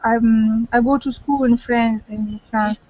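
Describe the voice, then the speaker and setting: A fairly high-voiced woman from Russia struggles through English in an online lesson, full of fillers and restarts. Her audio is dry and lo-fi over the call. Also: fairly even intonation, measured pace, a little mellow, no room sound. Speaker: student. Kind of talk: halting learner conversation. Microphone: video call.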